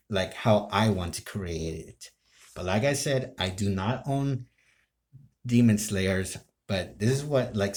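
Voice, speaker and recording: A man telling a story casually, in halting, broken phrases with frequent restarts. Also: lowish voice, a little mellow. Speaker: amateur video creator telling his role-play ideas.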